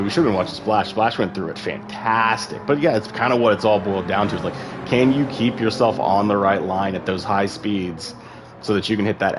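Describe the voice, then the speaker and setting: A man's voice: on the low side, noisy background. A man giving casual, rambling live commentary on an online sim race, full of fillers and asides.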